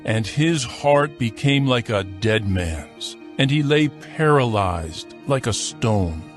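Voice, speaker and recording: Measured male scripture narrator, medium pitch. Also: animated, soft background music.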